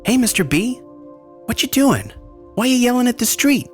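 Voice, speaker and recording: A man's voice: very high-pitched, big pitch swings, moderate pace, faint music behind, voice pushed up. A man voicing a cheerful, curious little schoolboy with breezy, childlike slang in a dramatized horror story. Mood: curious, playful.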